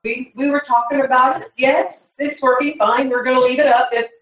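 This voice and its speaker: A woman's voice: high voice, fairly even intonation, moderate pace, soft background music. A woman co-hosting an online Bible study, chatting casually and in an easygoing way. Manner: chatty and easygoing, laughing often. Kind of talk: casual chat. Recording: echoey room, distant from the mic.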